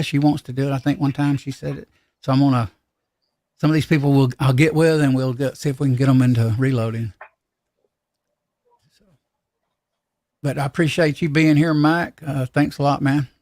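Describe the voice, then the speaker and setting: A man hosting a casual livestream chat, talking in a rambling, halting, folksy way. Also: mid-range voice, a little mellow, no echo, clean studio sound. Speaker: livestream host.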